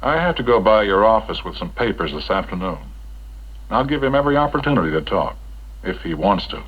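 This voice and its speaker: Casting: kindly chaplain, husky and expressive, earnest counsel, dull old film sound. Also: male voice, fairly low pitch. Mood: reassuring. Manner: measured, gently probing, earnest. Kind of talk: 1960s educational film acting.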